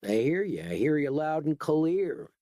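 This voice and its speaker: A man's voice: fairly high pitch, big pitch swings, a little slow, dark tone, no echo, clean studio sound, voice pushed up. A man voicing a laid-back young male hoodlum who drawls and calls everyone "man". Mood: quiet, sly. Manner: drawling, casual, says "man" a lot.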